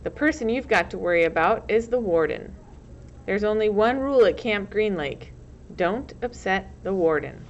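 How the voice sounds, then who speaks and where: A woman's voice: mid-range voice, expressive, moderate pace, close to an everyday voice. A woman voicing an earnest, patronizing, encouraging male camp counselor in a story reading. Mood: reassuring. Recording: muffled lo-fi recording, loud background noise.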